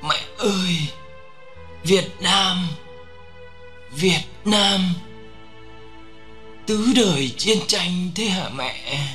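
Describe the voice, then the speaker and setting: A dying young soldier acted out by a man whimpers plaintively in a war audiobook. The voice is raised high, weak and gasping, as if spending its last strength. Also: very slow, pauses often, sharp tone, faint music behind, exaggerated voice. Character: dying young male soldier calling for his mother.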